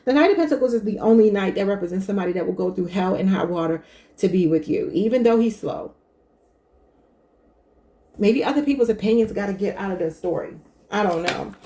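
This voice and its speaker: A woman reads tarot cards and chats straight to her viewers. Her voice is mellow and warm, at a middle pitch. She talks loosely and thinks out loud. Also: expressive, brisk. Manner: chatty, thinking aloud, addresses viewers as y'all. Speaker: tarot reader. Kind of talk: casual tarot reading to camera.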